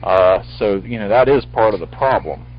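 A man's voice: fairly low pitch, expressive, moderate pace. Full-voiced male talk radio host. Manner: rambling, full-voiced, many restarts. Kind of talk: casual call-in talk radio. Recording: lo-fi radio stream with faint hiss.

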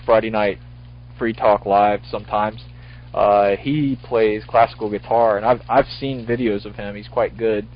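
A man hosting a casual call-in radio show, rambling and full-voiced, with many restarts. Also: lowish voice. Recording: lo-fi radio stream with faint hiss.

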